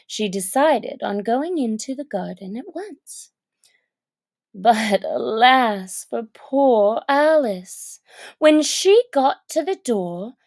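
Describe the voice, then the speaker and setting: A woman narrating a children's story from a script, with expressive, deliberate and dramatic phrasing. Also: a little high, no echo.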